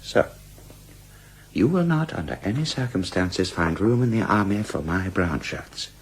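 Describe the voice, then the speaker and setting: An actor in a radio drama playing a defiant, foul-mouthed Nazi brownshirt commander, sardonic and blunt in manner. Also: male voice, low-pitched, sing-song, measured pace, breathy edge, heavily acted. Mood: sardonic, pressing.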